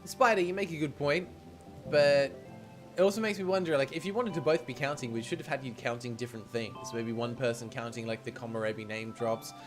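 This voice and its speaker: A man livestreaming a visual novel, switching between expressive reading and chatty, playful commentary. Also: mid-range voice, brisk. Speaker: game streamer. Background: game music.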